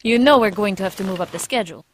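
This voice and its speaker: A woman's voice: mid-range voice, very fast, slight background noise, natural voice. A woman playing a confident, teasing ex-agent and operations chief in an audio drama, with a playful, coaxing manner. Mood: sly, persuasive.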